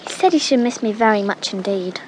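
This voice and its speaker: A woman's voice: mid-range voice, expressive, fairly quick, a little mellow, a little hiss or hum, natural voice, rough recording. An actress playing a timid, homesick girl of nine in a period audio drama, hesitant, polite and earnest. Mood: shy, wistful. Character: timid, homesick nine-year-old girl, poor cousin.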